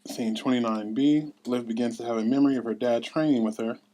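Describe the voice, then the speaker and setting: A man's voice: medium pitch, steady tone, a little fast, mellow, a little hiss or hum, low-quality recording. A man giving scripted narration, reading out scene headings and stage directions at a table read.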